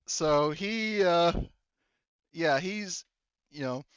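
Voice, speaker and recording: Guy game master, kinda high voice, lively, chatty and halting, dry muffled mic. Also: smooth, dry recording. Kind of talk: casual tabletop roleplay narration.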